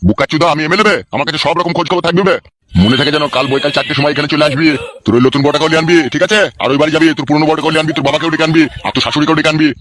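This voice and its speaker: A man's voice: fairly high pitch, steady tone, racing, a bit bright, natural voice. A man voicing a bossy, foul-mouthed village politician in a comic cartoon, coarse and hectoring, with a rural West Bengal accent.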